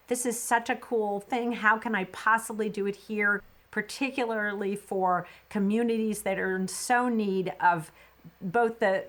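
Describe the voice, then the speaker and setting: A woman's voice: mid-range voice, a bit bright. A middle-aged woman hosting a podcast interview, chatty and affirming, with frequent likes and uhs. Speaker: podcast host.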